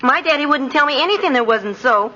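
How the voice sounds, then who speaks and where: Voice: sits high, expressive, fast, bright, forceful tone. A performer playing an earnest, trusting little boy giving testimony in a 1950s radio drama. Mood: innocent, sure. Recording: muffled, noisy vintage radio recording.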